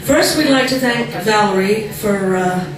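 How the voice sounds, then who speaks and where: A loud woman tosses out dry, sarcastic roast jokes. She is heard from far off in a strongly echoing hall. A big crowd laughs and chatters behind her. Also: mid-range voice, measured pace. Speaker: sister-in-law giving a roast speech. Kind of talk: roast speech. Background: laughing audience.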